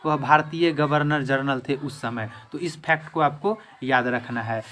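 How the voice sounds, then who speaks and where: A man's voice: medium pitch, measured pace, a bit bright. A man teaching an exam-prep lesson in question-and-answer form, emphatically repeating each answer.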